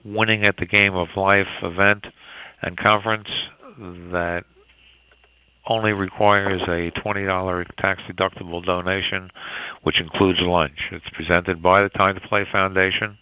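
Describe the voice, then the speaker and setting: A man hosting a podcast, introducing it in a halting, wordy and formal manner over a phone line. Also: deep voice, flat delivery, clear, resonant tone, no echo. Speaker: podcast host. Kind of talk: spoken introduction to a business podcast. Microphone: telephone call. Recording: tinny phone line, dry.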